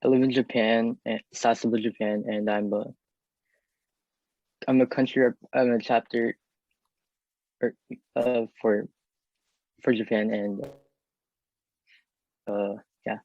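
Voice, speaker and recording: A man's voice: medium pitch, fairly even intonation, slow, pauses often, darkish tone, no room sound. A teenage boy introducing himself on a video call, hesitant and stumbling over his words. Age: teens. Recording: dull, dry video call audio.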